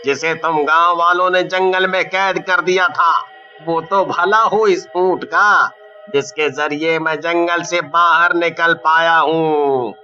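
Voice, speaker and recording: A man's voice: fairly high pitch, pushed and bright, muffled recording. A man voicing a menacing, gloating camel possessed by a forest demon, drawing out his threats in a horror cartoon. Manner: slow drawn-out threats. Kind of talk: Hindi horror cartoon voice acting.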